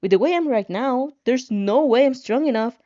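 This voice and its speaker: A woman's voice: high voice, lively intonation, moderate pace, dark tone, lo-fi sound. A woman voicing an anxious young hero-in-training in a fan fiction reading, reluctant, hesitant and full of doubt. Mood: worried, doubting. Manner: hesitant, stammering, worried.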